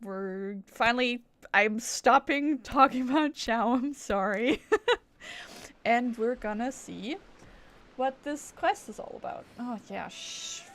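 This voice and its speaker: A woman's voice: fairly high pitch, takes it slowly, a bit bright. A woman chatting casually on a gaming livestream, rambling, interrupting herself and swearing now and then. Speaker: game streamer. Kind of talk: casual livestream gameplay chat.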